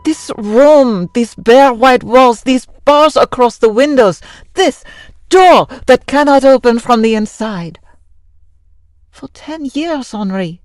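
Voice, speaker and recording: An actress playing a madman's devoted, long-suffering wife in a radio drama, deliberate and imploring. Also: a little high, expressive, a little mellow, no echo. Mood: bitter pleading. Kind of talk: old-time radio drama acting.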